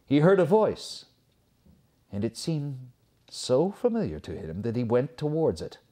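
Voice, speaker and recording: A man reading a fairy tale aloud as a storyteller, expressive and theatrical, with measured phrasing. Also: mid-range voice.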